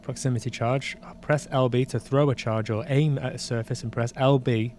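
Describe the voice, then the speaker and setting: A man's voice: mid-range voice, steady tone, moderate pace, a little mellow, a little hiss or hum. A man hosting a live game stream, reading on-screen text aloud with casual asides. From England. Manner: casual asides between read-out passages. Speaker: game streamer and podcast host.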